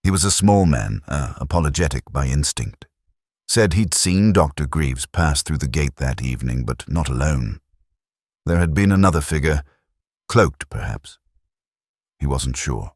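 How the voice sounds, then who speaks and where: A man playing a brooding, literary police inspector who narrates his case in a measured, reflective, melancholic way, as in a scripted audio drama. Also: rumbling low, animated, slightly rough, dry recording, clean studio sound, heavily acted. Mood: pensive, wary.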